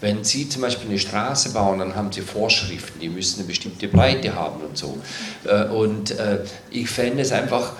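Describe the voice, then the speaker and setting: Low-voiced man rambling in a roomy hall. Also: expressive, moderate pace, hardly any pauses, slight background noise. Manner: rambling, many fillers and tag questions. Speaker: panelist. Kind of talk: panel discussion. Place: hall at a public event.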